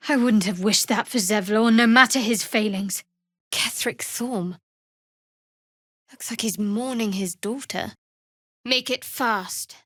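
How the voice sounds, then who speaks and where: A woman voicing a guarded, sardonic young female cleric in a fantasy video game, with a dry, measured, wry delivery. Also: medium pitch, no echo, natural voice. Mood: dry, cool, then low, threatening.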